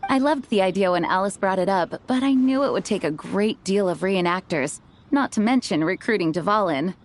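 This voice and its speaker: A woman's voice: mid-range voice, expressive, moderate pace, natural voice. An actress playing a composed, gracious young female knight leader in a video game, formal and poised.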